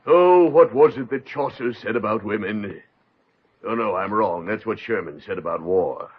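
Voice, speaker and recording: An actor playing a flustered, amorous book publisher in 1950s radio comedy, theatrical and sputtering. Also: male voice, on the low side, moderate pace, slightly rough. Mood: sheepish, flustered. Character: flustered, amorous male book publisher, comically overeager suitor. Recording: dull, muffled vintage 1950s radio recording.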